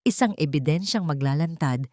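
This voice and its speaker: A woman's voice: low-pitched, lively intonation, normal speed, dark tone, no echo, clean studio sound. A woman reading a dramatic story as a scripted narration, with a solemn, ornate storytelling delivery.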